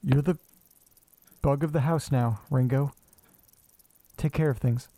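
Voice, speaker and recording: Man acting a slow-talking, muttering everyday guy. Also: mid-range voice, background noise, lightly acted. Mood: casual, resolved. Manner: muttering to himself, casual, deadpan. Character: restless, sweary man talking to himself and his pets. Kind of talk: naturalistic horror audio drama monologue.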